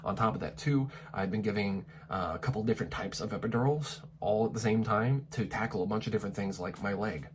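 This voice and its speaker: A man's voice: fairly low pitch, fast talker, hardly any pauses, a little hiss or hum. A man vlogging candidly, a bit stuffy from a cold, talking frankly with lots of swearing and restarts. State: stuffy, getting over a cold. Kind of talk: candid personal vlog rant. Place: apartment in Tokyo.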